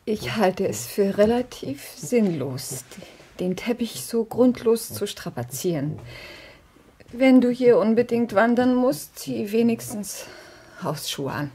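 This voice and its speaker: An actress playing a sharp-tongued, sarcastic young wife fed up with her husband in a radio drama. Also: fairly high pitch, lively intonation, slow. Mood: irritated, low. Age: young adult. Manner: sarcastic, nagging. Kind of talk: German radio drama acting.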